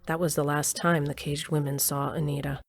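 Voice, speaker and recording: A woman's voice: low voice, flat delivery, a little fast, very noisy, natural voice. A woman playing a plain-spoken, dryly humorous older narrator telling her adventures in an audio drama. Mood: dry storytelling. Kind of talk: scripted first-person narration in audio drama.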